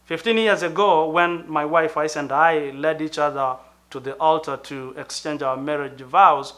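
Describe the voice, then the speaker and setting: Tanzanian pastor, bright earnest voice. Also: male voice, a little high, steady tone. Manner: earnest, measured, with thoughtful pauses and fillers. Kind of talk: sermon-like talk to camera.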